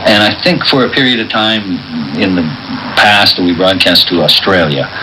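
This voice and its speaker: Deep-voiced man explaining matter-of-factly. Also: expressive, background noise. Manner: measured, matter-of-fact, with hesitations. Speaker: radio station technical staff. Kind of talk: explanatory interview talk.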